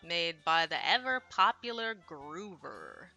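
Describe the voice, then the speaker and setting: A woman's voice: fairly low pitch, very animated, takes it slowly, bright, forceful tone, faint music behind. A woman streamer chatting casually on a livestream in a rambling, self-deprecating, apologetic way, speaking into a headset. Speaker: streamer translating a Japanese visual novel.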